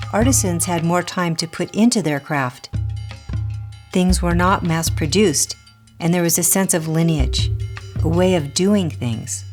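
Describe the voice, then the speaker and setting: Female reader, lower-pitched, for a contemplative scripted teaching; deliberate phrasing with pauses, set over loud music. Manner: measured, deliberate, pausing between short phrases. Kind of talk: scripted spiritual reading. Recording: music playing under the voice. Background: prominent music.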